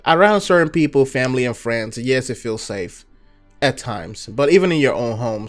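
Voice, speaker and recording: A young man from Nigeria with a Nigerian accent, hosting a gaming channel and chatting through viewer questions in a relaxed, filler-heavy way. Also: mid-range voice. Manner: relaxed, chatty, many fillers and "you know"s. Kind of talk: casual Q&A talk to viewers.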